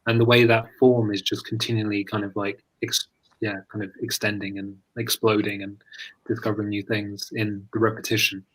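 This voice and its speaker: A man's voice: lowish voice, level tone, normal speed, poor audio. A British man speaking as a guest poet in a casual online conversation, thoughtful and tentative, often restarting his phrases. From London, England. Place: live online video call.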